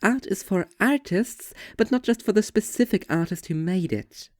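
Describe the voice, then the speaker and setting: A performer playing a young woman in a scripted literary debate about ideas, speaking in an articulate, opinionated and deliberate way with a sardonic edge. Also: mid-range voice, lively intonation, no echo, clean studio sound, lightly acted. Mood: argumentative, sardonic. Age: young adult. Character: two young women debating art, intense and sardonic. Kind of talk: scripted literary dialogue, argumentative monologue delivery.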